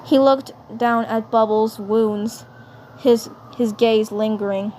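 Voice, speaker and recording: A woman reading scripted fan-dub narration, halting and often repeating her phrases. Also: on the high side, fairly even intonation, dark tone. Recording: faint background noise.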